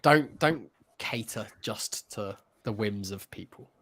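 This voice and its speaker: A man's voice: fairly low pitch, slow, fairly bright tone. Casual, chatty man co-hosting a podcast. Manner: informal, chatty fan talk. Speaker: podcast co-host. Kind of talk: casual chat.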